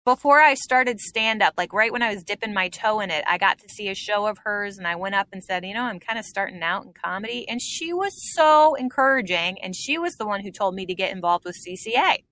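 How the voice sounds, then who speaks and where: A woman's voice: mid-range voice, expressive, brisk, few pauses, bright, forceful tone. A woman comedian chatting as a guest on a podcast, reminiscing with plenty of fillers. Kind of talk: casual podcast interview.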